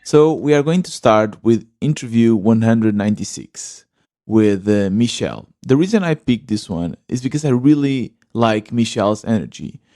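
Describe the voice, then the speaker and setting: A man's voice: lowish voice, expressive, normal speed, mellow, no room sound, clean studio sound. A man hosting his own podcast, talking earnestly and thinking aloud with small restarts and fillers. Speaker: podcast host. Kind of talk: solo podcast monologue.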